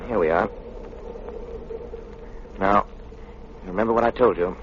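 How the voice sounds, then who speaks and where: An actor playing a suave, composed young gentleman detective in an old radio drama, speaking in a cultured, deliberate, assured manner. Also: fairly low pitch, lightly acted. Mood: composed, analytical. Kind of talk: 1930s radio drama acting. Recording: muffled old radio recording, clear noise.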